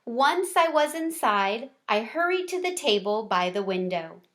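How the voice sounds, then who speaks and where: A woman narrates a children's story in a somewhat high voice. She reads expressively, leaning on key words as a read-aloud storyteller does. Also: very animated, measured pace, a bit bright.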